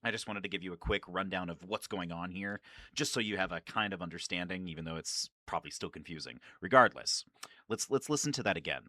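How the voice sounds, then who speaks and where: A man hosting a video with casual, informal fan commentary, excitable and prone to swearing. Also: sits low, fast talker, no room sound. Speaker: video host.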